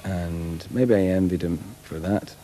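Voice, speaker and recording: A man's voice: low voice, steady tone, measured pace, music in the background. A man giving a thoughtful documentary interview, musing as he talks.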